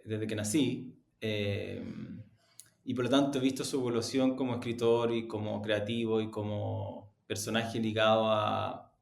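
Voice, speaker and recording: Creaky-voiced man in a roomy interview. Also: lowish voice, steady tone, a little slow. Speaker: executive interview guest. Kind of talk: conversational interview answers. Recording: roomy, echoing sound.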